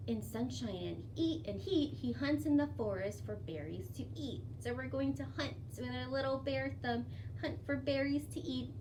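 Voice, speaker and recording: A woman storyteller reading picture books aloud to young children in an expressive, deliberate, sing-song way. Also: high-pitched. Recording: distant microphone with faint background noise.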